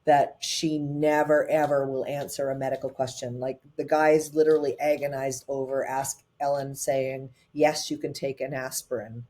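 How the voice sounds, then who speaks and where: A woman guest, a tech advisor, telling her story in a lively conversational way, often quickening her pace, with fillers like "um" and "uh" between phrases. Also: extremely low pitch. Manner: animated, quick bursts with frequent fillers.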